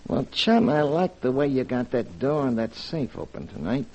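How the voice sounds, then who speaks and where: An actor playing a threatening gangster blackmailer who talks in tough, clipped street slang, in a 1940s radio drama. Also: male voice, mid-range voice, sing-song, normal speed, darkish tone. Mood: menacing. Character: menacing male gangster blackmailer, cold and threatening. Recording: muffled 1940s radio transcription.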